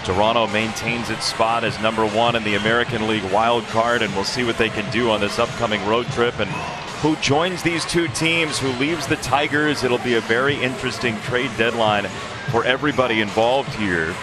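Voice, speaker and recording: A man calling a baseball game as play-by-play announcer, signing off the broadcast in a smooth, upbeat manner. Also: medium pitch, steady tone, moderate pace, over loud music. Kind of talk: broadcast sign-off commentary. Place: stadium broadcast booth.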